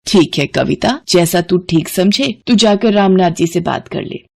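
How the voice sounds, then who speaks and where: A woman's voice: on the low side, expressive, unhurried, gravelly, background noise. A woman voicing an elderly Indian mother-in-law in a family storybook tale, firm and plain-spoken, stern but protective. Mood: surprised, supportive.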